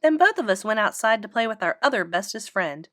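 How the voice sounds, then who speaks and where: Animated woman reading a children's story. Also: mid-range voice, fairly quick. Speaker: storybook reader. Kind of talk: expressive children's read-aloud narration.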